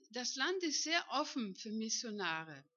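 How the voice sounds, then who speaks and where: A woman's voice: fairly high pitch, big pitch swings, takes it slowly, a bit bright, breathy edge, no room sound, muffled recording. A woman in her fifties who grew up in northern Germany, a missionary giving a chatty personal testimony to a church congregation and often checking that the room agrees. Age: 50s. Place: church hall.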